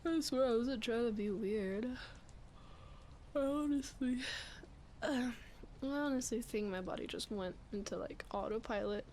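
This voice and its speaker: A woman's voice: a little high, a little mellow. A woman acting as a sleepy, flustered young roommate in an intimate roleplay ASMR, murmuring hesitantly and often whispering, shy and playful. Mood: drowsy, teasing. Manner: hesitant, halting, breathy murmuring with sighs. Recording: clear background ambience noise. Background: rain ambience.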